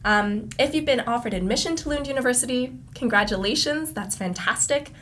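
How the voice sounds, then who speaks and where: A woman's voice: on the high side, a little fast, fairly bright tone, a little hiss or hum. A young woman from the USA hosting a live student panel, upbeat and welcoming. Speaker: host.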